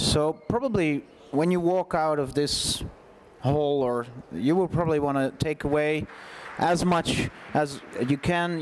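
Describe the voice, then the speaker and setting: A man's voice: on the high side, a little hiss or hum. A man, informally moderating a panel on a conference stage. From Netherlands. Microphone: gooseneck microphone at seat.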